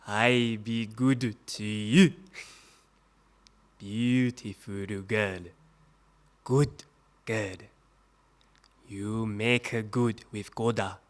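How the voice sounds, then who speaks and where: Slow, leering Japanese colonel. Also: male voice, medium pitch. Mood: smug, ingratiating. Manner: halting broken English, chuckling, insinuating. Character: leering, powerful Japanese army colonel, foreign English. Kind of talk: audio drama acting.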